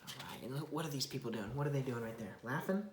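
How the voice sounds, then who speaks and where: A woman's voice: a bass voice, fast, roomy sound, noisy background. A woman reading a children's Bible story aloud expressively, chatting with a young child and asking simple questions.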